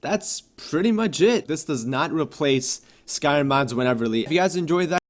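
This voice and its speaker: Excitable, swearing gaming YouTuber man. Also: fairly high pitch, normal speed. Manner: excitable, profane, joking. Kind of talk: casual gameplay commentary and channel talk.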